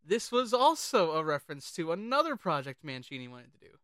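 A man with a bright, fairly high voice talks casually as a podcast co-host. He is chatty and halting, circling back over his phrases as he shares film trivia. The sound is crisp and close, with very little room. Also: big pitch swings, no room sound.

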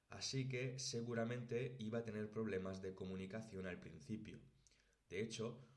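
Podcast host: male, late twenties, Castilian-Spain accent, lower register, scripted narration, recorded in a reverberant room. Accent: Spanish from Spain. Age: late 20s. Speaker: podcast host and language teacher. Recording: roomy, echoey sound.

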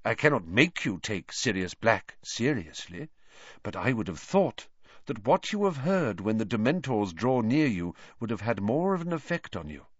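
A man reading, voicing a calm, gentle male professor who speaks mildly and evenly. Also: lowish voice, breathy edge, no echo, lo-fi sound. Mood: stern quiet. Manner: mild, measured, soft-spoken.

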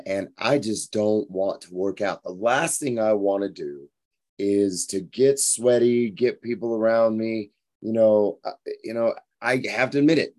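Hoarse, tired man in his forties. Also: lowish voice, darkish tone. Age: 40s. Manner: rambling, frank, repeats himself. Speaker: fitness podcast host. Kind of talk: casual motivational monologue.

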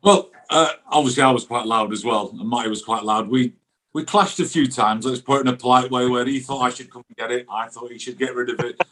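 A man's voice: medium pitch, fairly quick, fairly bright tone, low-quality recording. Middle-aged man chatting football. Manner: chatty, colloquial, often adds "you know what I mean". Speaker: former professional goalkeeper, guest pundit. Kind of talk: casual football chat.

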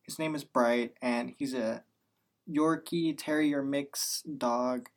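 A man's voice: mid-range voice, steady tone, takes it slowly. 19 yr old guy cohosting a podcast, playful, teases his cohost. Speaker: podcast co-host, business student. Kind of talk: scripted intro, then casual chat.

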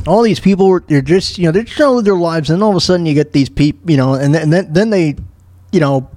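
A man's voice: on the high side, fairly quick, dark tone, no room sound. A man hosting a talk radio show, commenting casually in a rambling, exclamatory way and repeating his phrases.

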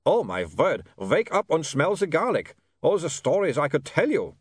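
A man's voice: a little high, sing-song, normal speed, breathy edge, dry recording, studio quality, voice pushed up. A man voicing an amicable, earnestly polite reformed male vampire for an audiobook. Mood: protesting innocence. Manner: earnest, formally polite, slightly insistent. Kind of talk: audiobook character voice.

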